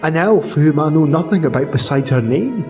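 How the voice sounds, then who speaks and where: A man's voice: fairly high pitch, animated, moderate pace. A man voicing a despairing captive warrior lamenting his failures in anguish. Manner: anguished, self-reproaching. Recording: muffled with faint music.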